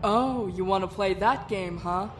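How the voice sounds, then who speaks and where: A woman's voice: medium pitch, unhurried, music in the background, close to an everyday voice. A woman voicing a commanding, foul-mouthed female admiral in a fan-made parody drama. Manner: commanding, swearing.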